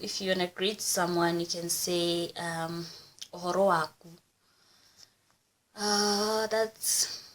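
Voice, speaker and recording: A woman's voice: mid-range voice, pushed and bright. A young woman from Kenya whose first language is Kikuyu, chatting on camera in a casual, self-conscious and upbeat way as she teaches phrases. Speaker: YouTuber.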